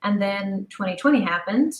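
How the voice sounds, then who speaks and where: A middle-aged woman speaks as an interview guest in a roomy, echoing space. Her voice sits at a medium pitch. She tells her story casually and chattily, often slipping into quoted dialogue. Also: expressive, moderate pace, dull audio. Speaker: interview guest, audio drama creator.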